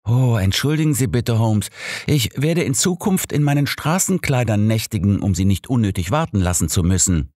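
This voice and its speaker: A man's voice: on the low side, lively intonation, moderate pace, darkish tone, no echo, studio quality, voice pushed up. A man voicing a grumbling, sardonic elderly companion of a detective in an audiobook. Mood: cynical. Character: elderly male sidekick, grumbling, sardonic, long-suffering.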